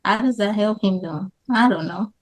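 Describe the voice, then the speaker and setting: A woman's voice: medium pitch, normal speed, crowd noise. Inquiring woman co-host. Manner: questioning, leads the discussion. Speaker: podcast co-host. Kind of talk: casual chat.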